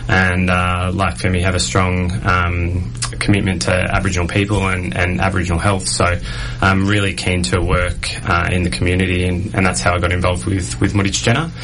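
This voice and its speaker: Deep-voiced easygoing man. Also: completely flat delivery, brisk, hardly any pauses, a bit bright, a little hiss or hum, poor audio. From Geelong, Australia. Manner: friendly, easygoing, with fillers. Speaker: podiatry program worker. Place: radio studio.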